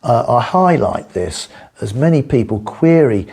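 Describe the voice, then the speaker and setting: Male history presenter, gravelly and husky with a slight head cold, relaxed tone, measured explanatory delivery. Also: mid-range voice. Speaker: presenter of a history video series. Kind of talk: scripted true-crime history narration with asides.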